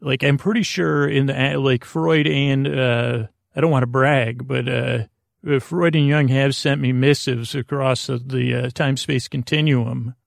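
Gravelly American man, rambling and halting. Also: mid-range voice, steady tone, no room sound, clean studio sound. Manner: halting, self-deprecating. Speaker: sleep podcast host. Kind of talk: rambling, meandering bedtime monologue.

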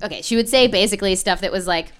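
A woman's voice: medium pitch, rapid-fire. A young woman co-hosting a podcast, telling stories casually with swearing and laughs into a handheld mic. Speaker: podcast co-host. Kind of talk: casual comedic chat. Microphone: handheld microphone.

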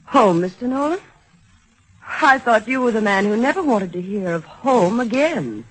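An actress playing a poised, cool former sweetheart, formal and measured, in an old-time radio drama. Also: female voice, a little high, animated, breathy edge, low-quality recording. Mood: cool, restrained. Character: poised, cool former sweetheart, now married, politely wounding.

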